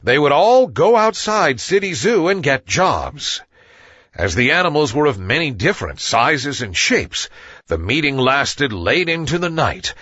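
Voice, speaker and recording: A man narrating a scripted children's story as an expressive storyteller. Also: mid-range voice, slightly rough, no echo, studio quality.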